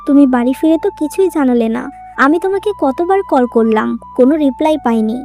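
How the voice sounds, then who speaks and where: Cartoon role: modern young girlfriend played by a woman, high-pitched, blunt and assertive, light music bed. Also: lively intonation, fast, darkish tone. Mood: impatient. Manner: assertive, questioning. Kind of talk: dramatic cartoon dialogue.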